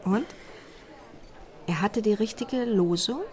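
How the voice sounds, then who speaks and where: A woman's voice: medium pitch, slow pace, close to an everyday voice. An actress playing a curious, teasing secretary who quizzes her boss in a radio crime drama. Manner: inquisitive, teasing.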